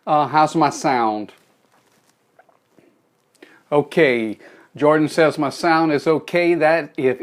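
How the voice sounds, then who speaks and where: A middle-aged man with a Cajun accent, hosting a live phone stream, giving a folksy motivational talk and greeting viewers by name. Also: a little high. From South Louisiana.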